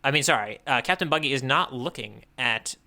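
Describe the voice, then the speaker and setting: A man's voice: mid-range voice, expressive, brisk, creaky, sharp tone. A man co-hosting a podcast in casual chat, wry and often stopping to correct himself. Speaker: podcast co-host.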